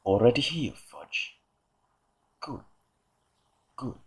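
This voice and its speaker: A man performs a smug aristocrat in an audio play. He drawls with haughty, mock-polite contempt in a mid-pitched male voice. Every phrase is coolly sneering. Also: sing-song, extremely slow, slightly rough, exaggerated voice. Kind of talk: fan audio drama acting.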